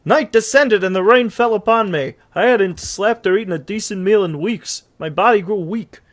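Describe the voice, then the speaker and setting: A man's voice: sits high, moderate pace, close to an everyday voice. A man voicing a jittery, guilt-ridden talking horse who tells his tale theatrically in a cartoon fandub. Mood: dramatic narration. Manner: theatrical storytelling with stammers. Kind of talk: dramatic fandub narration.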